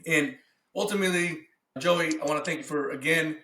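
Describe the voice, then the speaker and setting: A man hosts a podcast in a relaxed conversational style. His voice is a little higher than average, and the recording has a clear room echo. He speaks informally and appreciatively. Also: fairly quick. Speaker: podcast host, father. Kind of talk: casual podcast chat. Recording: roomy, echoing sound.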